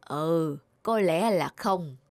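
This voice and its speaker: A woman playing a stubborn, grumbling elderly grandmother with a soft heart in an animated film. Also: deep voice, very slow, no room sound, studio quality, exaggerated voice. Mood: softened, affectionate. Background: music.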